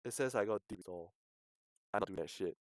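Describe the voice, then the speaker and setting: A man's voice: lowish voice, fairly quick, no room sound, studio quality. A man commentating casually on a game livestream, swearing often and grumbling in exasperation. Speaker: streamer.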